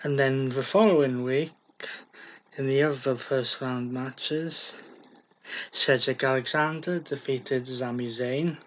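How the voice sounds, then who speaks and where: Male podcast co-host, unhurried delivery, muffled lo-fi audio. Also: mid-range voice, fairly even intonation, a bit bright. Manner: slow, hesitant, with fillers and laughs. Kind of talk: casual wrestling chat. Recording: dull lo-fi home recording.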